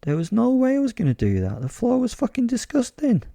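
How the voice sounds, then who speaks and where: Male werewolf killer played by a man narrating horror drama: dark mellow tone, gravelly fry, theatrical, crude and gleefully sardonic, dry close sound. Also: extremely high pitch, huge pitch swings, a little fast, dry recording, heavily acted. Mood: gleeful, darkly amused. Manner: sardonic, relishing, theatrical, sweary. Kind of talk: first-person dramatic horror storytelling.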